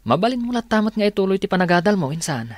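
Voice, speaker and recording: A man's voice: high-pitched, big pitch swings, very fast, no room sound, clean studio sound. An actor playing an earnest, grateful young policeman in a radio drama, with heartfelt delivery. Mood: friendly. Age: young adult. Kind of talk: Filipino radio drama acting.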